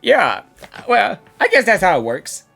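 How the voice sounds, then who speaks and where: Girl streamer reacting to a game, rambly and giggly, really animated bright voice, music behind. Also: mid-range voice, measured pace, gravelly. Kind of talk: casual live reaction commentary.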